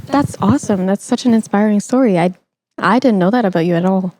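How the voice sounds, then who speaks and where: A woman's voice: mid-range voice, a little fast, darkish tone, low-quality recording. A young woman hosting a conversational interview in an encouraging, supportive way. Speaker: podcast host.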